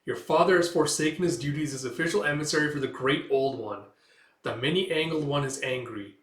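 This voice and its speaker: A man voicing a giant rat, the commanding and sinister emissary of a dark god, in a comic book read-aloud. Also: on the high side, fairly quick, natural voice. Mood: stern, demanding. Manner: solemn, commanding. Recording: echoey room.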